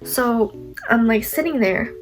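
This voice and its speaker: A woman's voice: a little high, slow pace, over music, low-quality recording. A young woman vlogger with a stuffy nose, telling a story in a chatty, rambling way, full of "like" and self-mocking asides. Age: young adult. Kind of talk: casual storytime.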